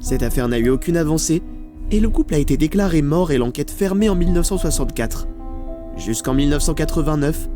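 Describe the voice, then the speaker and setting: A man narrating a scripted mystery documentary with dramatic, suspenseful phrasing. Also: a little high. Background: background music.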